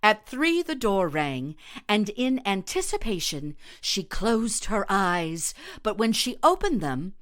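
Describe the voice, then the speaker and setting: A woman actor narrating a literary short story in a measured, dramatic reading style. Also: mid-range voice, very animated, fairly bright tone.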